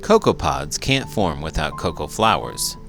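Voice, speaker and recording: Male storybook narrator, expressive, hugely varied intonation, creaky low register, background music. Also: measured pace. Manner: expressive, big emotions. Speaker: teacher reading aloud a children's book. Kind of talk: animated children's read-aloud narration.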